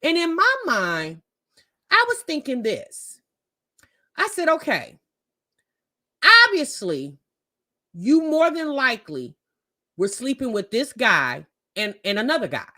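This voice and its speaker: A woman's voice: on the high side, big pitch swings, slow, pauses often, bright, forceful tone. A woman giving casual, opinionated commentary as a reaction host, talking emphatically and colloquially with frequent rhetorical questions.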